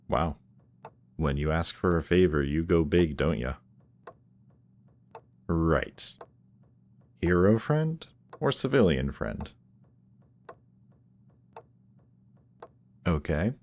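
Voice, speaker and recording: A man's voice: rumbling low, a little hiss or hum, exaggerated voice. A man playing a guarded supervillain in a roleplay audio drama, sardonic and awkward whenever he shows that he cares. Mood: reluctant, softening. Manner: sardonic, hesitant when showing care. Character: gruff, guarded male supervillain, secretly caring, sardonic.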